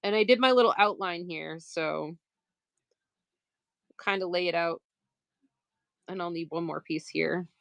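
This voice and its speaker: A woman's voice: on the low side, a little slow, vocal fry, long pauses, a bit bright, clean tone, no echo. Chatty Maine woman sewing on a live stream. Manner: chatty, laughs often, self-mocking asides; talks while working, moving around the table. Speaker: quilter streaming live sales.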